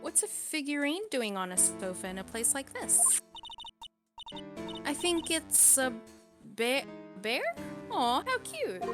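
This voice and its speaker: Lady doing a bubbly teen girl medium, high and bouncy, nosy about everything, game music behind. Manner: chirpy, wide-eyed and playful. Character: cheerful curious teenage girl, spirit medium. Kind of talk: live fan dub of a game.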